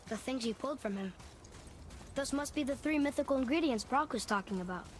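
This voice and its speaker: A man's voice: very high voice, animated, fairly quick. A young male actor playing a curious, eager demigod boy in a video game, youthful, inquisitive and earnest in delivery. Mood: questioning, earnest. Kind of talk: video game character acting.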